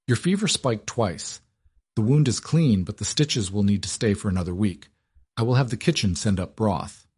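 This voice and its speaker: A man voicing a guarded, cynical young noblewoman who talks briskly and erupts in fury. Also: fairly low pitch, animated, darkish tone, breathy edge. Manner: brisk and clipped, breaking into furious outbursts.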